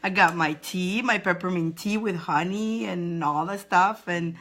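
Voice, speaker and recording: A woman with a squeaky voice hosting a live spiritual advice stream from home, chatting casually and greeting viewers by name. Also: measured pace, a bit bright, faint music behind. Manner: greets viewers by name in high sing-song, laughing often.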